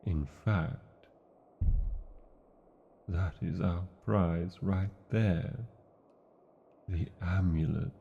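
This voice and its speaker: A deep, very mellow British dragon played by a man speaks as a proud, tender lover in a fantasy roleplay. His phrasing is slow, courtly and intimate. The recording is slightly muffled. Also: gravelly, slightly rough, exaggerated voice. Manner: formal, archaic, theatrical phrasing with tag questions.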